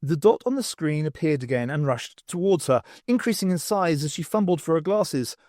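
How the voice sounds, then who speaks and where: A man reading an audiobook story as scripted narration, in an expressive, dramatised manner. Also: fairly high pitch, normal speed, dry recording, studio quality. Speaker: audiobook reader. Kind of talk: dramatised reading with voices for characters.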